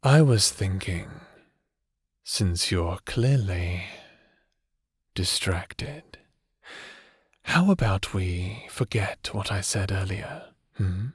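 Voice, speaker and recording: A man playing a doting, teasing evil necromancer husband in an intimate ASMR roleplay, speaking softly with flirtatious endearments. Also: deep voice, expressive, drawn-out words, pauses often, slightly rough, no room sound, studio quality, full character voice. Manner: soft, soothing, flirtatious, affectionate endearments.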